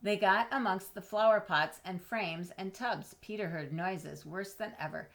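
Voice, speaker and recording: A woman reading a children's storybook aloud expressively, with dramatic pauses, drawn-out words and questions to her young listeners. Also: mid-range voice. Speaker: storybook reader.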